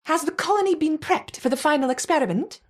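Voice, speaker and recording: A woman playing a brisk, authoritative project supervisor in a video game, clipped, formal and coolly impatient. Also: sits high, lively intonation. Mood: businesslike. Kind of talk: acted video game dialogue.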